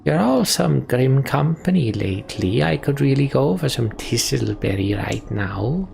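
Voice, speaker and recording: A brash fantasy game mercenary, acted out by a man, teasing and jeering. The voice is pushed higher and louder, with some gravel in it. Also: animated, measured pace. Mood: blunt.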